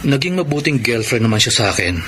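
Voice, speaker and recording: A man's voice: medium pitch, very animated, fast talker, background noise, natural voice. An actor playing a Filipino man, a casual, familiar cousin and former fiancé, in a radio drama. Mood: hesitant, confessing. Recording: loud music behind voice.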